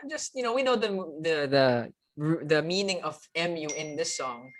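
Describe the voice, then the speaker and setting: Chatty host, lots of uh's and repeats, mid pitch. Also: big pitch swings, moderate pace, fairly bright tone, slight background noise, low-quality recording. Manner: talkative, stammers and repeats words. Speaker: interviewer, host. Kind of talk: casual interview in mixed English and Tagalog.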